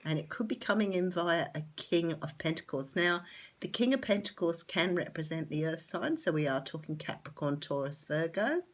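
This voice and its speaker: A woman's voice: fairly low pitch, lively intonation, normal speed. A woman with an Australian accent, nasal and sore-throated from a cold, chatting casually and enthusiastically through a tarot reading. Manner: chatty, enthusiastic, many ums. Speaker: tarot reader.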